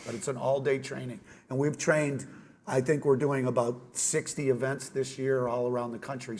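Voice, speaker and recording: A man's voice: medium pitch, slight background noise. A man on a conference panel answers an audience question plainly and informatively. He explains his organization's training with casual asides and a few fillers. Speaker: trade association trainer on a panel.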